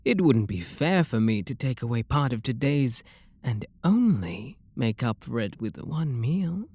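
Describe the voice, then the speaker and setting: Slow, refined British vampire lord, played by a man. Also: mid-range voice, big pitch swings, husky, no echo, full character voice. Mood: patient, negotiating. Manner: soft, deliberate, genteel, flirtatious. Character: refined, wealthy male vampire lord, possessive and courteous. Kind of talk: ASMR roleplay monologue.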